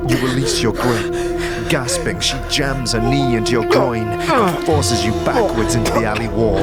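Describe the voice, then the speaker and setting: A man narrating a scripted story in the second person, with measured, dramatic storytelling. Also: fairly low pitch. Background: music.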